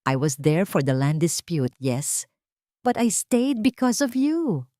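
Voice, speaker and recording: A woman's voice: on the low side, very animated, slow, dark tone, no room sound, studio quality. A woman with a Filipino accent voicing a weary, remorseful man who pleads his love earnestly in an audio story. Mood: pleading, regretful. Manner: pleading, switches between Tagalog and English.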